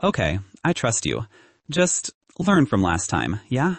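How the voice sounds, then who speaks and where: A man's voice: medium pitch, huge pitch swings, takes it slowly, husky, dry recording, exaggerated voice. A man voicing a teasing, protective young male roommate who ribs his friend about a new crush. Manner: teasing, big-brotherly.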